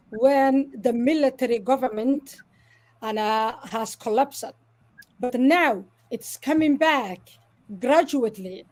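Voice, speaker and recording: High-voiced woman on a video call. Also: darkish tone, rough recording. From Somalia. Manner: earnest, explains patiently with many "you know" fillers. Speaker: interview guest. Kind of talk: live online interview.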